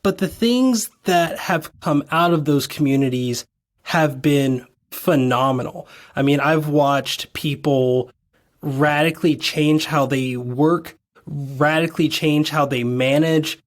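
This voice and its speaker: Raspy, tired podcast host. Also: mid-range voice, slow. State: tired from lack of sleep. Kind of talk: conversational interview.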